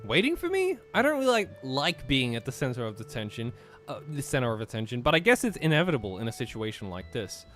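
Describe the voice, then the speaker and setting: A man's voice: medium pitch, wildly swooping pitch, fast, fairly bright tone, faint music behind. A man reading a visual novel aloud and chatting casually as he plays. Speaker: player and narrator of a game playthrough.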